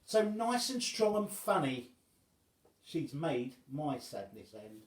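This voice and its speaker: A man voicing an excited, affectionate young boy from a storybook, read aloud. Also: a little high, slow pace, reverberant. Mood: proud, happy.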